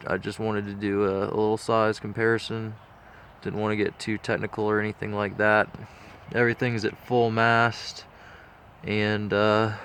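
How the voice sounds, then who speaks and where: A man's voice: fairly low pitch, a little hiss or hum. A man with a stuffed-up nose, talking casually and rambling with lots of fillers. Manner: laid-back, rambling with many fillers. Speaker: diecast model collector vlogger. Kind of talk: casual walkthrough talk.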